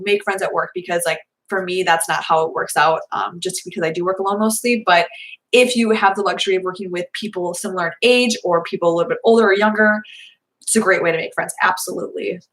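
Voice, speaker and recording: A young woman in her twenties chatting casually through viewer questions, rambling with lots of fillers, her voice slightly hoarse. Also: mid-range voice, fairly bright tone, poor audio. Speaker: vlogger answering viewer questions. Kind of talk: casual live Q&A chat.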